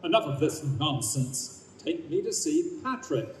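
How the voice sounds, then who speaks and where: A man's voice: fairly high pitch, huge pitch swings, unhurried, slight background noise. A man playing a stubborn, blustery old former drill sergeant in an old-time radio play. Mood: indignant, demanding. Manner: blustering, insistent. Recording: echoey live stage, distant microphone.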